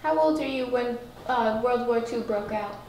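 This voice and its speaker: Gentle woman interviewer, very echoey. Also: fairly high pitch, moderate pace, noisy background.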